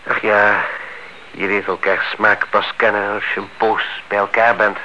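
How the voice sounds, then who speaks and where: Muffled radio play: courteous, genteel gentleman, sharp bright tone, deliberate phrasing. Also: lowish voice, little pitch movement. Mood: wistful. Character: polite, genteel married man, quietly discontented neighbour. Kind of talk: radio play acting in Dutch. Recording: muffled old radio recording, faint noise.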